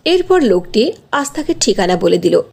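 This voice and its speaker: Female storyteller, mid-pitched and expressive, a touch breathy, reading cartoon narration. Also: moderate pace.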